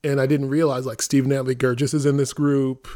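Male podcast co-host, American, medium-pitched, loose and conversational, self-deprecating theatre director type. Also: flat delivery, brisk, mellow. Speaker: artistic director and playwright on a theatre company podcast. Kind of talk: casual conversational podcast talk.

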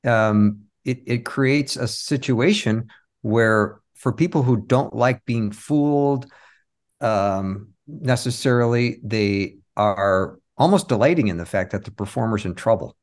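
A man's voice: medium pitch, unhurried. A man speaking as an interview guest, telling anecdotes and explaining his work in a casual, conversational way. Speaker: interview guest, performing magician.

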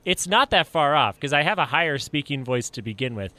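Animated, bright-voiced man bantering on podcast. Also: on the high side, a little fast. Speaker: comedy podcast co-host. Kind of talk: casual comedic podcast banter.

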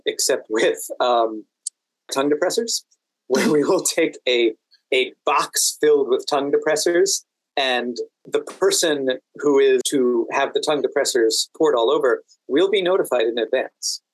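An American man speaking as a podcast guest, telling stories in a halting, deliberate way with dry amusement. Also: a little high, dry recording, low-quality recording. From Washington DC, United States. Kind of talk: anecdotal interview conversation.

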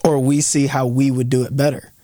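A man's voice: medium pitch, flat delivery, a little fast, dark tone, no room sound. A man in his thirties co-hosting a podcast, reflecting carefully and searching for words as he talks. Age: 30s. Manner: thoughtful, searching for words, with restarts. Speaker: podcast co-host. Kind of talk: casual reflective podcast chat.

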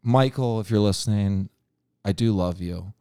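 A man in his thirties from the Cincinnati area, chatting casually as a guest, blunt and swearing freely with a deadpan edge. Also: on the low side, normal speed, smooth, dry recording, studio quality. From Cincinnati area, USA. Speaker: podcast guest, musician. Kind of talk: casual chat.

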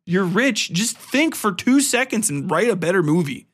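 Man, slightly high pitch, very bright tense tone, casual rant, profane, sarcastic, exasperated. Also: big pitch swings, normal speed. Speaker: podcast host. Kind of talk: casual ranting film review.

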